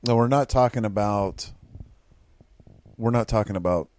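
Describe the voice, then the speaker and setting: A man's voice: on the low side, normal speed, a little mellow. A man in his forties co-hosting a casual chat, hesitant and rambling, often restarting his sentences. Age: 40s. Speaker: podcast co-host.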